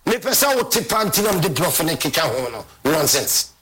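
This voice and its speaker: A man's voice: on the high side, big pitch swings, brisk, crowd noise. A Ghanaian man from Ghana delivering a heated, scornful rant as a football fan commentator, repeating himself often. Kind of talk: angry rant.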